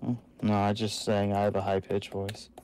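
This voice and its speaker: A man playing a game with a friend, explaining things in a relaxed, chatty way. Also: on the low side, moderate pace, smooth. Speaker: co-player. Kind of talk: casual gaming chat.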